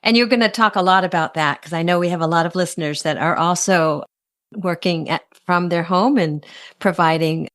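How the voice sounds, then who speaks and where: A woman's voice: normal speed, a bit bright, dry recording, clean studio sound. A middle-aged woman hosting a podcast, reading a guest introduction and then chatting with enthusiasm. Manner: enthusiastic, with sudden high lifts in pitch. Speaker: podcast host.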